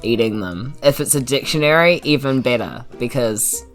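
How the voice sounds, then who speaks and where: A woman's voice: a bass voice, lively intonation, moderate pace, soft background music. A woman with a New Zealand accent, a tabletop game player who trades jokey, giggly banter. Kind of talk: casual chat at a tabletop game.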